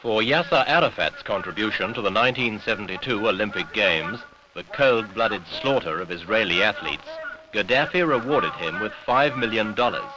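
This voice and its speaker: A man's voice: lowish voice, lively intonation, normal speed, muffled recording, background noise. A British man reading scripted newsreel narration with pointed, dramatic phrasing on an old 1970s soundtrack.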